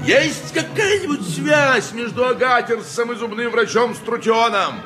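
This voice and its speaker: An actor playing an impassioned, indignant lawyer in an ancient court, declaiming pompously in a theatrical radio play style. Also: male voice, very high voice, animated, unhurried, over music. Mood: indignant, triumphant.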